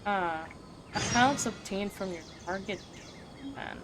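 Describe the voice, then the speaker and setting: A woman's voice: medium pitch, slow pace, music in the background. A young adult woman giving casual, slangy reaction commentary while playing a game. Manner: informal, slangy asides. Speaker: game streamer.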